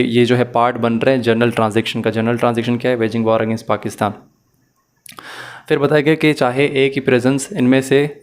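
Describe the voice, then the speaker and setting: A man giving a methodical, explanatory lesson as a law lecturer, mixing in English phrases. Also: mid-range voice.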